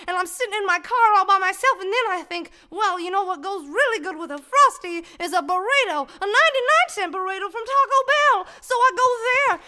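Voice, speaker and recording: A ditzy damsel from a comic radio mystery played by a woman, with an extremely high, bright voice. She is gushing and melodramatic, in an old-time heroine style. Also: heavily acted. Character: dramatic, ditzy, always-hungry 1940s-style mystery heroine.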